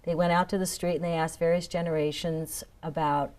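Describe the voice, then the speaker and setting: A woman's voice: deep voice, little pitch movement, fairly quick, a little mellow. A woman hosting a local TV talk show, introducing guests and asking questions in a polite, upbeat way. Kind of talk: studio interview hosting.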